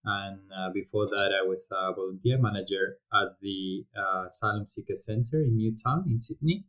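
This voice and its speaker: A man from Spain with a Spanish accent, a podcast guest talking through his career in a thoughtful, casual way with frequent pauses and restarts. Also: lowish voice, fairly bright tone, poor audio. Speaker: podcast guest, volunteering program director. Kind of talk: casual interview talk.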